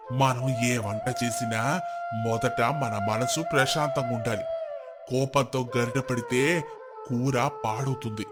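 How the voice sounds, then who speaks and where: A man voicing a revered master cook in a story, grave, calm and philosophical, with a solemn, measured, instructive manner. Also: mid-range voice, close to an everyday voice.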